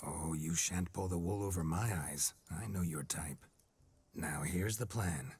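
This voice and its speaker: A haughty noble, low and very husky. He speaks with posh, clipped command, lecturing those below him. Game dialogue. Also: measured pace, a little mellow, full character voice. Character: pompous male nobleman speaking through a witcher.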